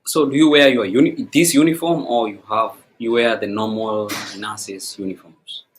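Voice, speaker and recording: Very low-voiced woman host. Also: fairly even intonation, moderate pace, slight background noise. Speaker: interviewer, host. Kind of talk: casual interview chat.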